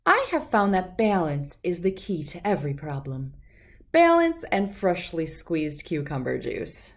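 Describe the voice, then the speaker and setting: A woman voicing a serene T. Rex auntie who gives measured, sage-like advice in a storybook. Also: fairly low pitch, very animated.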